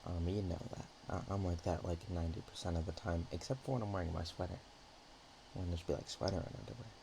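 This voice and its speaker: A man playing a naive, nervous, affectionate dragon in a roleplay ASMR, talking in a hesitant, rambling, halting way. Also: sits low, fairly even intonation, creaky, noisy background. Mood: bashful, rambling.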